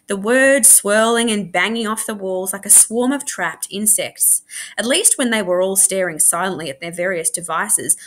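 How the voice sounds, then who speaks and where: A woman reading a children's novel aloud in an animated, expressive storytelling manner, like a school librarian. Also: medium pitch. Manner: animated, acts out dialogue.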